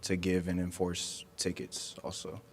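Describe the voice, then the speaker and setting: A man from county staff answering residents' questions at a public meeting in a school hall, measured and often pausing with "um". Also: low-pitched, completely flat delivery. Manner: measured, with frequent ums. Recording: long hall reverb.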